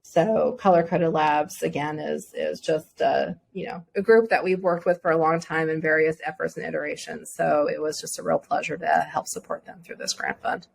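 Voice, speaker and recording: A woman's voice: low voice, steady tone, normal speed, gravelly, hardly any pauses. A woman with a Midwestern American accent answering interview questions as a venture fund director, thoughtful, with many fillers and restarts.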